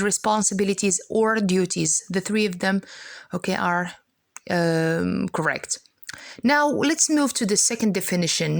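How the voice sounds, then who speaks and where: A woman's voice: on the high side, no room sound, studio quality. A woman from Morocco with a Moroccan accent and Arabic as her first language, teaching a lesson in a didactic way, often checking in as she explains. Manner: didactic, repeats and checks with "okay".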